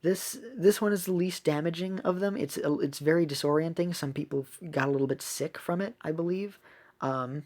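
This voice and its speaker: A woman's voice: low voice, moderate pace, a little mellow. A woman giving casual, chatty gameplay commentary with a self-deprecating manner as she hosts a gaming video.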